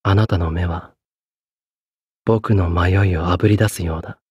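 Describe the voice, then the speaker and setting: A young executioner from an anime game, solemn and burdened with guilt. His voice is on the low side and notably breathy. He speaks with courteous, measured gravity, in a very dry recording with no room sound. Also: steady tone, a little mellow, no room sound, dull audio. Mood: solemn, devoted. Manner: polite, measured, grave. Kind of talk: Japanese anime game voice acting.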